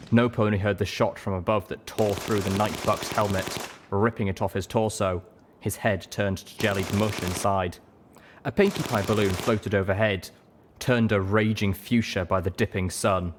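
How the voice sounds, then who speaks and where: A man reading a scripted adventure story as a dramatic narrator. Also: fairly low pitch.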